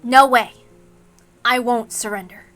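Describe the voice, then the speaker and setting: An earnest young man from a story reading, played by a woman, stubborn and devoted. The voice is bright and resolute, declaring itself firmly with faint music behind it. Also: fairly high pitch, steady tone, unhurried. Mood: defiant.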